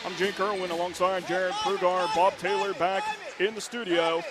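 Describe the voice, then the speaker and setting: High-voiced man calling basketball on radio. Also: loud music behind the voice. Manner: rapid, excited calls that rise to shouts on big plays. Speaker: radio play-by-play announcer. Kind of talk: live basketball play-by-play. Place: high school gym. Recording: radio broadcast with loud gym noise.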